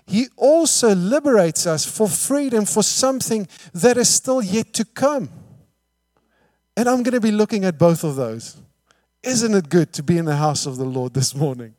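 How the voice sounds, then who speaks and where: Guy preaching, kinda high voice, dry sound, lots of rhetorical questions, gets quiet then loud. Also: lively intonation, moderate pace, no room sound. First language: Afrikaans. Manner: emphatic, rhetorical questions, swings from hushed to loud. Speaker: pastor. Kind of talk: sermon.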